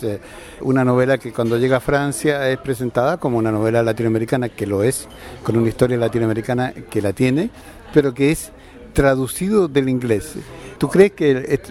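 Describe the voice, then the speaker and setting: A male interviewer with a mellow, dark-toned voice. He sets up his questions with long, careful framing before putting them to his guest. His delivery is measured and composed. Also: mid-range voice.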